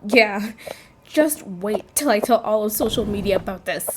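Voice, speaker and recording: A woman's voice: mid-range voice, expressive, moderate pace, background noise, close to an everyday voice. A woman playing a snobbish, entitled and spiteful young woman in an audio drama. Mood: scornful. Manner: haughty, snappish.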